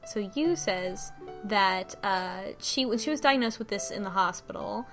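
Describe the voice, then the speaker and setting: A woman co-hosting a podcast, casually retelling a story in halting bursts with false starts and some swearing. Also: medium pitch, fairly bright tone, soft background music. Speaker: podcast co-host recapping a story. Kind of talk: casual conversational plot recap.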